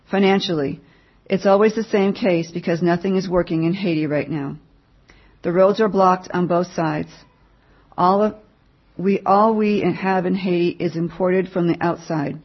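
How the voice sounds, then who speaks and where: A woman giving a mission update at church, reading a written report aloud to the congregation in a plain, measured way. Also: lowish voice, breathy edge, dull audio.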